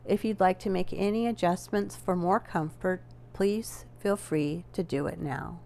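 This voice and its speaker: Female yoga nidra guide, unhurried and gently instructive, lowish voice with a creaky edge. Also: slight background noise. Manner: measured, gently instructing with deliberate pauses. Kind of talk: guided relaxation meditation.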